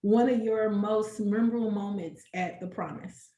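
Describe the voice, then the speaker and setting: A woman's voice: medium pitch, fairly even intonation, normal speed, mellow, lo-fi sound. Chatty woman host in an echoey room. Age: older. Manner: chatty, affirming, rambling with restarts. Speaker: host of a preschool program chat. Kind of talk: casual online panel chat.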